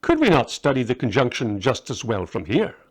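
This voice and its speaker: Guy reading a practical magus in a storybook, dry and measured, everyday mid voice. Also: medium pitch, huge pitch swings. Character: practical male scholar magus, measured and focused.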